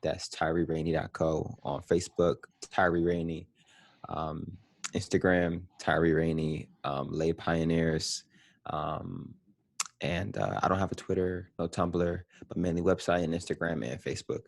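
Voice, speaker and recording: Deep-voiced man, measured guest. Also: fairly even intonation, gravelly, no room sound. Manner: measured, with many fillers. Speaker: podcast guest. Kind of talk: casual chat.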